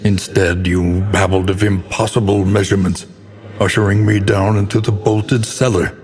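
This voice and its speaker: An actor playing a grim, haunted scholar who narrates cosmic horror in a grave, ornate, deliberate manner for a game. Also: male voice, sits low, little pitch movement, darkish tone, soft background music, natural voice. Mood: bitter, mournful. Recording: long hall reverb, noisy ambient bed.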